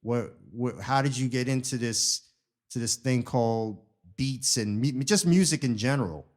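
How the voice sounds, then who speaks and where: A man's voice: medium pitch, moderate pace, darkish tone. A man speaks as a podcast host in a mid-range voice. He keeps it conversational and informal, with casual hip-hop slang. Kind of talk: casual interview chat.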